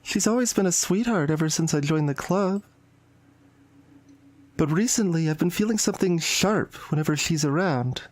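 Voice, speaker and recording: A woman playing a shy, anxious and intense high school girl in a visual novel, speaking in a hesitant, confiding way. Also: moderate pace, a little mellow, lightly acted. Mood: uneasy. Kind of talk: dramatic visual novel voice acting. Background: background music.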